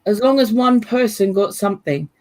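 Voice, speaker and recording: Hoarse woman hosting a live chat. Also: mid-range voice, animated, normal speed, a little mellow. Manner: chatty, laughs often, fillers like "you know". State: losing her voice, hoarse. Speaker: host. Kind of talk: casual live-stream chat.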